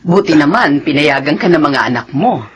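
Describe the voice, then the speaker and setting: A woman playing a chatty, excitable and jealous girlfriend in a Filipino radio drama. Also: sits low, normal speed, a little hiss or hum.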